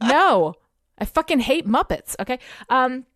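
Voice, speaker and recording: A woman's voice: high voice, sing-song, a little slow, a bit bright, dry recording, clean studio sound. A woman co-hosting a podcast, talking casually and emphatically with blunt, sweary opinions. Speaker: podcast co-host, TV show critic.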